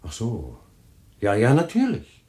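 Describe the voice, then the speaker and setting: Smooth scheming husband in an old radio play, voice swings around a lot. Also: male voice, medium pitch, huge pitch swings, measured pace, darkish tone. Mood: evasive. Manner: soothing, evasive, dismissive. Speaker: husband James. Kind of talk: German radio drama acting.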